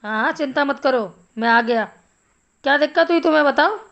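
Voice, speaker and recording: A woman voicing a concerned male village doctor in an animated Hindi story, explaining things in a measured way. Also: high-pitched, gravelly, lo-fi sound. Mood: concerned, reassuring. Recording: music behind the voice.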